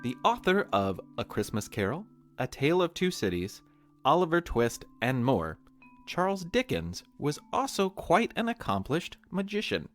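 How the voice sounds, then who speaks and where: A man's voice: a little high, very animated, moderate pace, faint music behind. An American man reading a playful scripted quiz as host, talking straight to the listeners. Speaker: podcast host and quizmaster.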